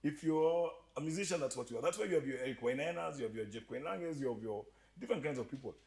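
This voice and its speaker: A man with a slight Ugandan accent telling stories as a podcast guest, animated and joking, often laughing. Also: fairly high pitch, fast, creaky. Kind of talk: storytelling interview chat.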